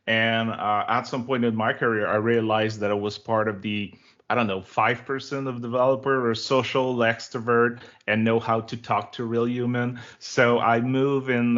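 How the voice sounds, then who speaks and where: A man from Quebec speaks English with a Québécois French accent, his first language French. He talks in a friendly, rambling way, with lots of fillers and restarts, in a slightly low voice. The audio is dull and lo-fi, like an online call. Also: a bit bright. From Quebec, Canada. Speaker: guest. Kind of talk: casual interview chat.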